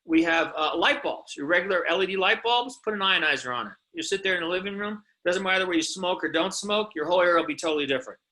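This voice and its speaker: A man's voice: high-pitched, quick pace, hardly any pauses, sharp tone. A man delivering an informal sales presentation in an emphatic, insistent manner, often punctuated with rhetorical questions. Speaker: company presenter pitching products.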